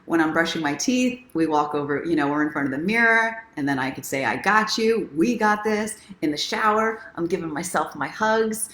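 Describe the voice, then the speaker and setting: A woman's voice: sits low, expressive, normal speed, few pauses, a bit bright. A woman hosting a casual livestream, encouraging and speaking straight to her viewers like a self-help coach. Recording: roomy, echoing sound.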